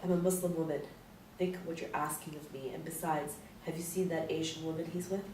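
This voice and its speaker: A woman's voice: deep voice, fairly even intonation, brisk, dark tone, lightly acted. A woman playing a tough, sardonic young spy in a screenplay read, with a dry, cutting manner. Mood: wary. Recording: echoey room, distant mic, faint noise.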